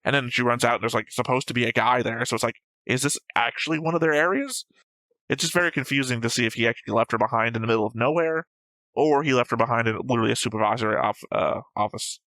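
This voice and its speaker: Blunt man with a bright, forceful voice. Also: medium pitch, fast, dry recording, poor audio. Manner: blunt, argumentative, sweary. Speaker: reaction show co-host. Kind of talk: casual discussion of an anime episode.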